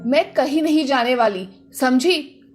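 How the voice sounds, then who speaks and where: A woman acts out an older Indian-family mother-in-law for a cartoon morality tale. Her voice sits high and carries a scolding, aggrieved temper. Faint music plays underneath. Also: expressive, measured pace. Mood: indignant. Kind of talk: acted dialogue in cartoon moral story.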